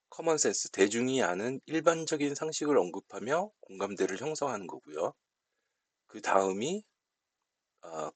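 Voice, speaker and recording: A man explaining software settings step by step in an unscripted tutorial, speaking politely as an instructor. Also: mid-range voice, breathy edge, no room sound.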